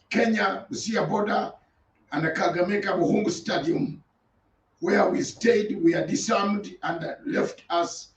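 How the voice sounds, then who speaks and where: A man, an interview guest deliberately recounting his life story in listed steps. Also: sits high. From Uganda. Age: 60s. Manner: deliberate, list-like recounting with repeated phrases. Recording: distant, roomy and muffled.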